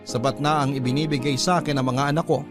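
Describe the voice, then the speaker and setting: A man's voice: medium pitch, fairly even intonation, fast. A man narrating a dramatic first-person story as a radio host. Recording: music under the voice.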